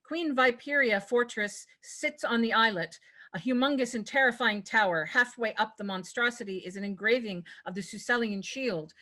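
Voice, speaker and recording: Bright, crisp-toned woman narrator. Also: on the high side, normal speed. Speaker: narrator reading stage directions. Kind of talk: scripted narration at a table read.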